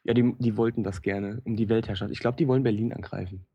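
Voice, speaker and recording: A man, the show's technician, chatting casually with joking, quick asides. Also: fairly low pitch, fairly even intonation, mellow, breathy edge, dull audio. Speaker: technician co-guest. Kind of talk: casual chat.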